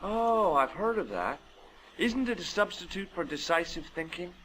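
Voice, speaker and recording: An actor playing a plucky young American amateur sleuth in a video game, with a dry, wry manner. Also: male voice, high voice, expressive, normal speed, a bit bright, low-quality recording. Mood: dryly teasing. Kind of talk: video game narration and dialogue. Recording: music behind.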